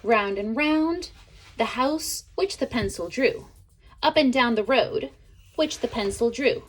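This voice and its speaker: A woman reading a children's picture book aloud in an expressive way, as a teacher would to young listeners. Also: fairly high pitch, low-quality recording. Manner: expressive, pauses to ask listeners questions. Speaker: storybook reader, teacher.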